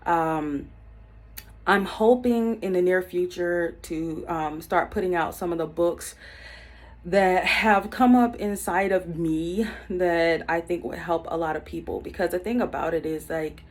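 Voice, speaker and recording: A woman in her thirties talking unscripted to camera, earnest and reflective, with many pauses and filler words as she gives life advice. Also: fairly low pitch. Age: 30s. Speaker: YouTube self-help vlogger. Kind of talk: unscripted personal talk to camera.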